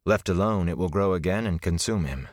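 A man voicing a composed, weary sorceress who speaks in a measured way. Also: sits low, expressive, no echo, clean studio sound. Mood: grave. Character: calm, weary female sorceress.

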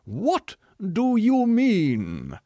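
A man voicing a bitter, self-pitying male ghost who declaims and shouts his lines in a drawn-out, theatrical way. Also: fairly high pitch, big pitch swings, no echo, studio quality, voice pushed up, full character voice. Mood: shouting. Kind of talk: audiobook character reading.

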